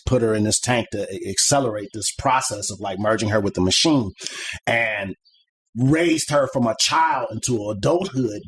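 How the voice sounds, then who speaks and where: A man's voice: lowish voice, slight background noise. A middle-aged man talking as a guest on a podcast, explaining his ideas in a loose, rambling way full of "like" and "you know". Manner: rambling and conversational, many "like" and "you know" fillers. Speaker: podcast guest, comic artist. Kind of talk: casual interview chat.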